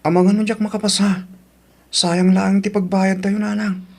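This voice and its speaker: A man's voice: high-pitched, flat delivery, moderate pace, vocal fry. A man with an Ilocano accent acting in a family audio drama, speaking in a plaintive, earnest way. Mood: reluctant, apologetic.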